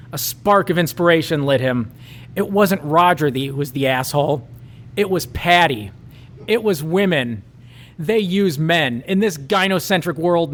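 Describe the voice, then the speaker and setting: A man reading a raunchy comic story aloud at a live show, with mock-dramatic narration. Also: on the high side.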